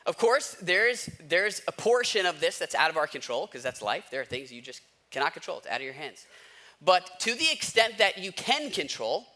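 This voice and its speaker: A man's voice: fairly high pitch, big pitch swings, normal speed, pushed and bright. A man giving a conversational sermon as a pastor, asking rhetorical questions and repeating key phrases for emphasis.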